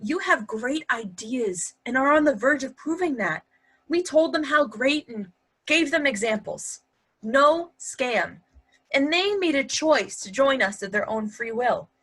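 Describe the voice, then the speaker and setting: A woman's voice: high voice, expressive, unhurried, a bit bright, slightly rough, exaggerated voice. A woman acting in a play reading as a sly, upbeat wife, teasing and persuasive. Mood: assertive. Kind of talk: virtual stage play reading.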